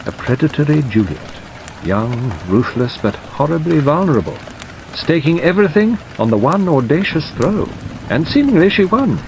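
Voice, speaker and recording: A man's voice: fairly high pitch, voice pushed up, rough recording. Man acting a theatrical, sentimental elderly lawyer. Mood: melodramatic, wistful. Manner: declaiming, melodramatic, with sighs and pauses. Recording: steady rain noise over narration.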